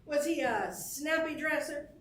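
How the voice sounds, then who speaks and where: An actress playing a brassy, playful vaudeville star, trading good-humored wisecracks in a staged reading. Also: female voice, high voice, a little slow, fairly bright tone. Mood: amused, breezy. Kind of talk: theatrical acting in a staged musical reading. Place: indoor staged reading, recorded from a distance. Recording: distant stage mic, roomy, faint noise.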